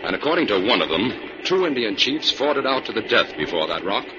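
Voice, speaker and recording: Low-voiced, assured radio hero. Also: male voice, big pitch swings, a little fast. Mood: calm, explanatory. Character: calm, assured male scientist crime-fighter Jim Brandon. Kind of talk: old-time radio drama acting. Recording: muffled, noisy old radio recording.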